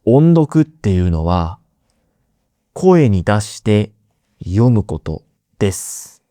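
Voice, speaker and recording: A man teaches learners at a very unhurried pace, dwelling on each word and repeating key terms so they sink in. His voice is warm and mellow, with a smooth, resonant clarity. The sound is close and dry, with no room in it. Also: lowish voice, very animated, no room sound. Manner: deliberate, repeats key words, friendly questions to listeners. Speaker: Japanese teacher, podcast host. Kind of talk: slow, simple explanation for learners.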